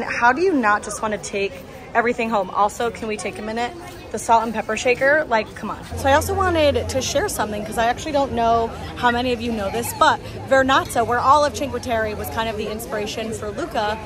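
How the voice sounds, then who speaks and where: A woman in her twenties chatting casually and cheerfully to camera as a travel vlogger, recorded outdoors on a handheld device. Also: on the high side. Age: 20s. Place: outdoors in a busy tourist village street. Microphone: phone or camera at arm's length. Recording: outdoor phone audio with busy crowd. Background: crowd chatter.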